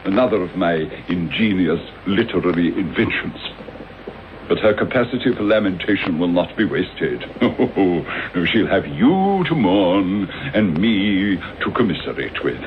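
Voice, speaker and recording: An actor with a British accent playing a mad, vengeful, gloating villain in a theatrical, wordy radio drama style. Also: male voice, deep voice, sing-song, measured pace. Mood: gloating, mock-mournful. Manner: theatrical, sardonic, elaborately wordy. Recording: dull, noisy old radio broadcast recording.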